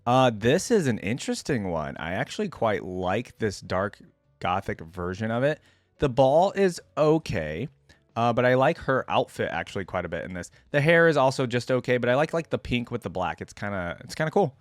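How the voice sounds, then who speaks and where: A man's voice: medium pitch, very animated, moderate pace, dry recording, soft background music. A man giving casual, easygoing reaction commentary as a video host, rambling and thinking aloud.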